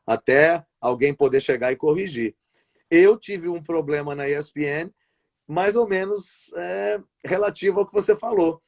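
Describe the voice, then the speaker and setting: A man from Brazil telling long stories in a relaxed interview over a video call. His voice sits a little high for a man, and he wanders through his points with frequent restarts and little tag questions. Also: moderate pace, gravelly. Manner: rambling, restarts often, tags sentences with "né" and "sabe". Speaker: wrestling commentator and play-by-play announcer. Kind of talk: casual interview chat over a live stream.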